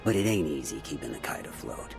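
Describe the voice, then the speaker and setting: Very deep, gravelly sardonic rogue. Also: male voice, sing-song, quick pace, heavily acted. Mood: sly, musing. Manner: sardonic, folksy slang. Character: roguish, wry middle-aged male drifter and schemer. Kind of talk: video game cutscene acting. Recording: background music under voice.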